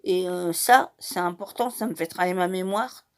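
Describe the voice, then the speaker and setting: A woman's voice: lowish voice, moderate pace. A woman in her forties, from Madeira and a native Portuguese speaker, talking about her life in a hesitant way with many fillers and restarts. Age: 40s. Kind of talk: casual personal monologue.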